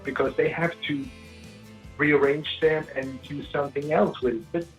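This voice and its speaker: A man from Sweden with a big, gravelly voice, telling stories at length in a casual interview and often restarting his sentences. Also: on the high side, fairly even intonation, normal speed, soft background music, poor audio. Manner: rambling storyteller, frequent restarts. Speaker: singer-songwriter guest.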